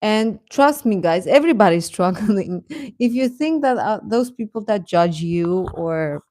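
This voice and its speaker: A woman's voice: mid-range voice, animated, a little slow, darkish tone, dry recording, studio quality. A woman giving casual, reassuring advice as she chats with her livestream viewers in an encouraging way. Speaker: livestream host talking with young viewers.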